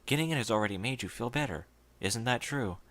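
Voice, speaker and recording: A man voicing a calm, stern pony who speaks in a low murmur. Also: fast talker, dry recording. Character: calm, stern pony guard, quietly menacing.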